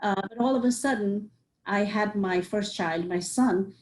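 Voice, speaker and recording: Earnest woman, mellow voice. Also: medium pitch, measured pace. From Nepal. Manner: earnest, listing points with frequent "you know". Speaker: psychiatrist guest. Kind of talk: interview answers.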